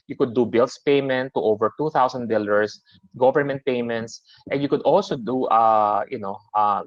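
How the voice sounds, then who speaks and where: A man's voice: mid-range voice, no echo. A man with a Filipino accent giving a business webinar presentation, talking with many fillers and restarts. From Philippines. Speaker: webinar presenter for a super app. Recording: dry, slightly dull webinar audio.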